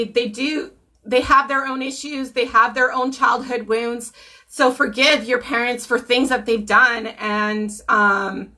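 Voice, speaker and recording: A woman giving a casual live tarot reading. She is hoarse from a cold, clears her throat often, and uses many ums. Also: a little high, bright, forceful tone. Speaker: tarot reader.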